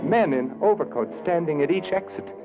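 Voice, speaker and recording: An actor playing a haunted, wary murderer, narrating in brooding, dramatic 1940s radio noir style. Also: male voice, a little high, expressive, moderate pace. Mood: hunted, tense. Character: haunted, wary male murderer narrating his own crime. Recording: dull old radio broadcast. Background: dramatic music.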